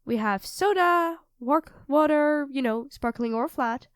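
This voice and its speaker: Woman acting as high-voiced, affectionate, teasing girlfriend. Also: a little slow, no room sound, studio quality. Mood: affectionate, chatty. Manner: playful, doting, teasing, expressive swings. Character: affectionate, teasing young live-in girlfriend cooking dinner. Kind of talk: ASMR roleplay, audio drama spoken to the listener as partner.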